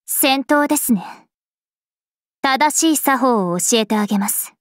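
Regal game vampire princess, serene, formal, unhurried delivery, dry close sound. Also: female voice, high-pitched, lively intonation, dry recording. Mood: calm, regal. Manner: formal, poised, measured. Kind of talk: scripted mobile game character voice lines.

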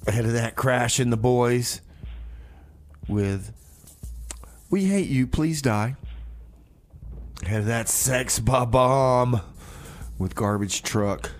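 A man's voice: mid-range voice, takes it slowly, pauses often. A man hosting a music radio show in a folksy, rambling, hesitant way, often repeating himself and poking fun at himself. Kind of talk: rambling music radio presenting between songs.

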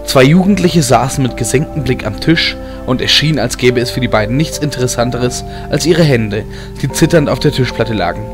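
A male narrator reads a fantasy audiobook in a mid-pitched voice. The delivery is scripted storytelling, with film music playing behind it. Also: expressive, a little fast, few pauses. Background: background film music.

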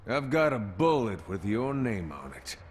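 A man voicing a cocky, gruff cowboy gunslinger in a video game, with a laconic American Southern drawl. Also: fairly low pitch, very animated, measured pace, a little hiss or hum. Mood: cocky, cool. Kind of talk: video game character voice lines.

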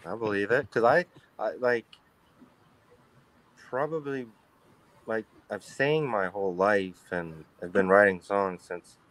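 A baritone man being interviewed as a guest musician over a video call, talking in a halting, rambling way with many restarts. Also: fairly bright tone, poor audio. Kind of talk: casual interview chat. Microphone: webcam on a video call.

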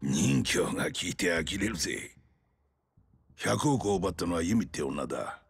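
An actor playing a blunt, weary, middle-aged male detective in a video game drama, with a husky voice and rough speech. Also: sits low, lively intonation, measured pace, heavily acted. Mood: grim, explaining.

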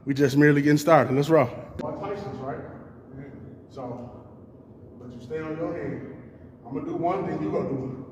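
A man's voice: on the high side, normal speed, noisy background. A man coaching a workout partner in a casual, laid-back way, explaining each drill step by step. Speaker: fitness coach. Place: large indoor gym. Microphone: far camera. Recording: echoey gym from far off.

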